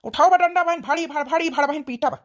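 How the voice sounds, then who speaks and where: A woman's voice: high-pitched, fast, a little hiss or hum, lightly acted. A woman voicing a tired, grumbling village husband with an Awadhi accent in a cartoon comedy. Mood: angry. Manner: grumbling, berating.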